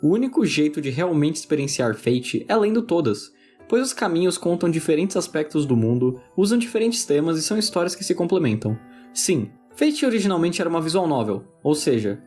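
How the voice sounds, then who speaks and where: A man's voice: on the high side, very animated, fairly quick, a little mellow, soft background music. A man with a Brazilian accent narrating a scripted video essay with informal asides.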